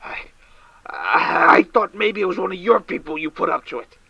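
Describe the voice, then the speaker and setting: A man's voice: sits high, big pitch swings, moderate pace, creaky, slightly rough, highs cut off, exaggerated voice. An actor playing a distraught, drinking male caller on the edge of a breakdown, panicky and stammering, in an audio drama. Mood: shaken. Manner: frantic, panicky, shouting and stammering. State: drunk. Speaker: caller to a late-night radio show.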